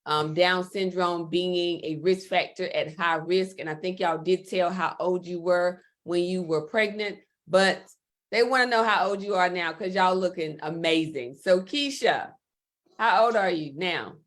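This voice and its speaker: A woman's voice: fairly low pitch, measured pace. A woman hosting a livestream interview in an upbeat, encouraging way. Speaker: host.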